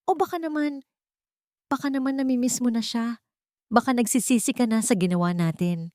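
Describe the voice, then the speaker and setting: A woman voicing an angry, jealous, accusing woman in an audio love drama, with sharp taunting delivery. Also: a little high, fairly quick, dry recording, studio quality, voice pushed up, close to an everyday voice. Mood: defensive, taunting.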